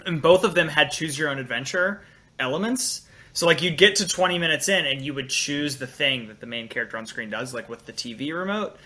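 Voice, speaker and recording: Very bright-voiced man on a podcast. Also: fairly high pitch, brisk. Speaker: podcast panelist. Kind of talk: casual podcast discussion.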